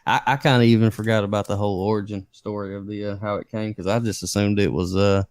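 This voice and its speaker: A man, a podcast guest, chatting casually in halting speech full of fillers and repeated words. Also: on the low side, steady tone, clear, resonant tone, no room sound, clean studio sound.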